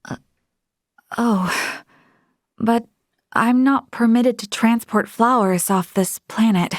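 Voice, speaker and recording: A woman's voice: fairly high pitch, slow pace, no echo, clean studio sound. Earnest, modest young female space officer. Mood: hesitant. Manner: polite, self-effacing, sincere. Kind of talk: video game voice acting.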